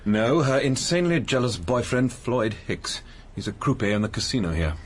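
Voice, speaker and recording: An actor playing a witty, arch entertainer turned sleuth in a radio mystery, with a theatrical, dryly flippant manner. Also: male voice, mid-range voice, lively intonation, moderate pace, a little hiss or hum, lightly acted. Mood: brisk, knowing. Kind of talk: radio mystery drama acting.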